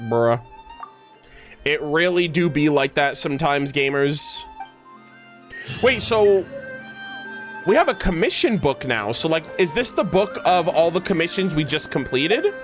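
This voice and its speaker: A man streaming a video game, giving casual, playful and expressive commentary in gamer slang. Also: on the high side. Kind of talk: casual gaming livestream commentary, reading dialogue aloud.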